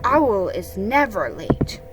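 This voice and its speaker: A woman voicing a mild, hopeful bear with a slow, simple storybook manner. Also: mid-range voice, big pitch swings, heavy background noise. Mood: puzzled. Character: mild, honey-loving, hopeful male bear.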